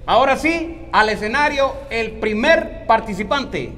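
Bossy high-voiced man judging. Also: big pitch swings, measured pace, a bit bright. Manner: bossy, exasperated. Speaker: judge in sketch. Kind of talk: comedy sketch.

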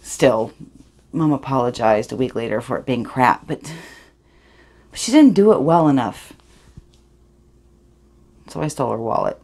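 A woman's voice: extremely low pitch, lively intonation, unhurried, pauses often, darkish tone, full character voice. A woman performing a dramatic monologue as a privileged, awkward eighteen-year-old girl, sly and defensive, rambling confessionally. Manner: rambling, confessional, self-justifying.

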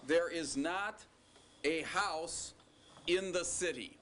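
A man teaching a recorded language lesson, explaining slowly and deliberately with joking asides. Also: fairly high pitch, sharp tone, slight background noise. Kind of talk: recorded classroom lecture.

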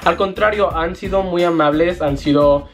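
A man's voice: sits high, soft background music. A young man from Jalisco with a Mexican accent, chatting casually and animatedly to camera from his bedroom, using slang and lots of gestures. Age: young adult. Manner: animated, lots of hand gestures, slangy, puts on quick voices for quoted people. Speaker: vlogger. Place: small bedroom, indoors. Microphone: fixed camera at desk.

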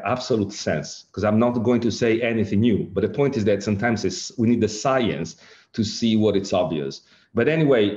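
Italian-accented man, halting lecture. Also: on the low side, warm tone, highs cut off. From Italy. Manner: halting, many fillers and rephrasings. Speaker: lecturer, director of an ageing innovation centre. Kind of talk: online lecture with slides.

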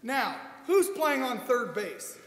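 A middle-aged man reading a classic comedy routine on stage, exasperated, animated and a little halting. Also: very high voice. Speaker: amateur comedy performer. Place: small indoor stage in a hall. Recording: echoey, noisy hall from a distance.

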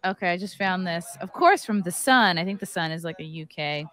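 A woman's voice: on the low side, animated, a little fast, fairly bright tone. A woman hosting a casual panel chat from a home streaming setup, reading articles aloud and breaking in with her own comments. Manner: reads articles aloud with running asides. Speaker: podcast host.

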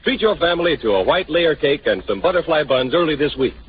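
An American man announcing and interviewing in the style of a 1930s radio sponsor pitch. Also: big pitch swings, fast, noisy background. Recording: tinny band-limited 1930s radio broadcast, music.

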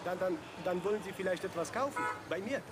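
A pushy street hustler, as a man plays him in a dubbed film. He talks with a fairly high voice in broken, repeated phrases, and he keeps pressing the listener. Loud traffic fills the street behind him. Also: brisk, lightly acted.

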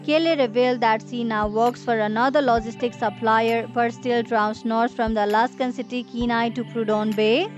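Woman voice-over narrator, slightly high, even matter-of-fact delivery, lo-fi. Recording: dull lo-fi voice-over with faint music.

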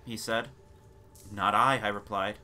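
A man reading a gothic tale as a theatrical, deliberate dramatic narration. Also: lowish voice, steady tone, pushed and bright. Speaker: narrator of a gothic short story. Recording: noisy ambience sound bed. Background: background ambience and sound effects.

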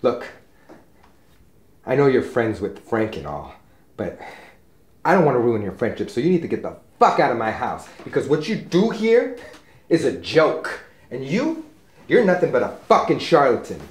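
A man's voice: lowish voice, big pitch swings, slow, pauses often, a little mellow, echoey room. An actor playing a tense, hostile young man who talks curt and swears. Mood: angry. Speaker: homeowner. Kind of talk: indie drama acting.

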